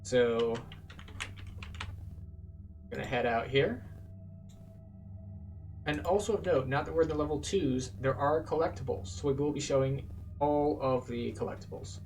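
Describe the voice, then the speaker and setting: A man's voice: medium pitch, unhurried, long pauses, darkish tone, distant mic, slight background noise. A man giving casual, explanatory gameplay commentary as a methodical tour guide. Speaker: video game tour guide, player narrating a playthrough. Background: game music.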